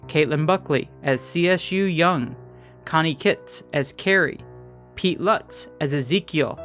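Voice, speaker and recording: A male announcer delivers scripted show credits and promos. His voice sits slightly high, with guitar music playing softly behind him. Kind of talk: scripted narration. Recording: music under the voice.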